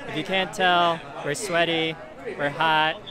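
A man's voice: on the high side, fairly even intonation, slow pace. A young man co-hosting a food vlog, explaining and chatting casually. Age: young adult. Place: outdoors at a market. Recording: busy outdoor market crowd.

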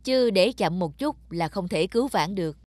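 A woman's voice: medium pitch, lively intonation, normal speed, a little mellow, dry recording, studio quality, natural voice. A woman playing an earnest, determined young male fish farmer in a cartoon. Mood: confident instructing. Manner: earnest, resolute. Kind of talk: cartoon dub acting.

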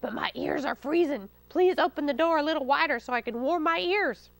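A woman's voice: high-pitched, fairly quick, dry recording. A woman voicing a mean, tricky old male fox with a greedy, cajoling, pleading manner in a children's story. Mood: wheedling.

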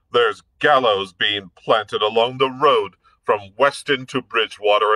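A man's voice: medium pitch, dry recording, pitched above the performer's own voice, low-quality recording. A man reading aloud, putting on a heavy, hectoring voice for a blustering, bullying dragoon captain. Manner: loud, sneering.

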